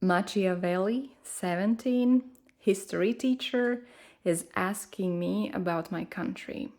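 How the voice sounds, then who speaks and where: A woman from Latvia with a distinct Latvian accent, chatting casually and fairly softly in her full voice, often pausing with "um". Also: mid-range voice. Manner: relaxed, hesitant with many ums, talking quite softly. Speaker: ASMR creator.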